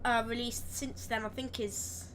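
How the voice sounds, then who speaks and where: A woman with a slightly croaky voice, rambling chattily through live gameplay commentary and correcting herself as she goes. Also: a little high, fairly even intonation, measured pace, a bit bright, a little hiss or hum. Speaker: game streamer.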